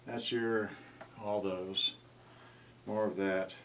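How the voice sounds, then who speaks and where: A man giving a casual, unscripted gadget review in a halting, rambling way, often trailing off mid-thought. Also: fairly low pitch, steady tone, a bit bright. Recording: distant mic with clear noise and clicks.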